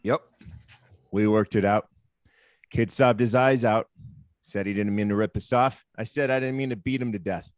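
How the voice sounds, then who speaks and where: A man plays a brutal thug of about thirty for a film table read. His voice sits low and is closely dry, with an offhand, callous sleaziness. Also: fairly even intonation, moderate pace, pauses often, dry recording, lightly acted. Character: brutal, sleazy thirty-year-old male drug thug.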